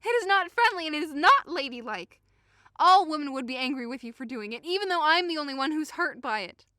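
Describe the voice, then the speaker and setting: A woman's voice: sits high, animated, a little fast, pushed and bright, dry recording, clean studio sound. A woman playing a hurt, indignant young woman in a modern-English Shakespeare audio drama, impassioned, accusing and sarcastic. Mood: wounded, pleading. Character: hurt, indignant young woman convinced she is being mocked.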